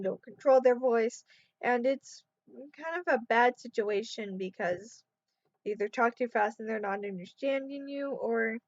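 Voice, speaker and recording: A woman talking casually to her camera, sleep-deprived, her voice going hoarse, and rambling as she trails off. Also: a little high, dry recording. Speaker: vlogger. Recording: dull, muffled sound in a dry room.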